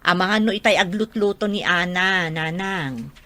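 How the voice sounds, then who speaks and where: A woman's voice: fairly low pitch, moderate pace, sharp tone. A woman with a Filipino Ilocano accent playing a matter-of-fact, middle-aged mother in a radio drama. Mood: dismissive.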